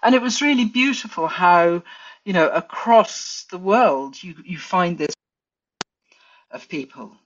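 English woman guest, musing and unhurried, muffled lo-fi recording. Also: lowish voice, lively intonation. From England. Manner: thoughtful, many fillers and restarts. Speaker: guest forager. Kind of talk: casual interview chat.

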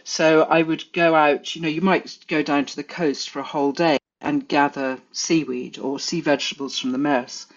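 English woman guest, musing and unhurried, muffled lo-fi recording. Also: low-pitched, fairly even intonation. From England. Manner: thoughtful, many fillers and restarts. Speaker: guest forager. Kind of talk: casual interview chat.

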